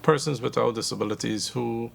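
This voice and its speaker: A man hosting an interview, asking measured questions and rephrasing them mid-sentence. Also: mid-range voice, vocal fry, slight background noise. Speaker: talk show host.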